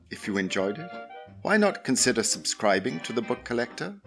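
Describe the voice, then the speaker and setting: A man delivering a scripted podcast announcement, with brass music behind him. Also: mid-range voice.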